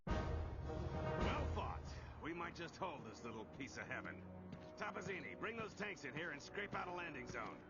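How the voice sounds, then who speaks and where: A man playing a commanding army captain giving gruff military orders in a video game. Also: fairly high pitch, big pitch swings, moderate pace, lo-fi sound. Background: loud background music.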